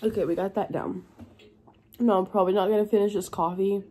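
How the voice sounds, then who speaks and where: A young woman, hoarse and congested from being sick, chatting tiredly in a home vlog. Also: steady tone, brisk, warm tone, a little hiss or hum. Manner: tired, low-energy, chatty. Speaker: vlogger. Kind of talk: casual vlog chat. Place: at home indoors.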